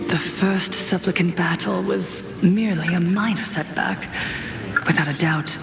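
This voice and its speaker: Seductive game villainess, godlike illusionist, lowish female voice, unhurried, coaxing, grandiose, soft menace. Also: fairly even intonation, vocal fry. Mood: hypnotic, coaxing. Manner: slow, grandiose, coaxing delivery. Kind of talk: scripted video game voice acting. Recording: echoing voice over game music.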